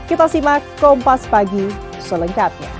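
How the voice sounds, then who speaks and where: A woman's voice: a little high, big pitch swings, moderate pace, over music. A woman reading scripted news as a presenter.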